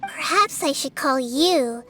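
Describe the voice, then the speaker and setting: A woman's voice: high-pitched, slow, slight background noise, exaggerated voice. A woman playing a small, wise, childlike goddess in a video game, composed and precise. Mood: calm, knowing. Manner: composed, precise, gently probing. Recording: music under the voice.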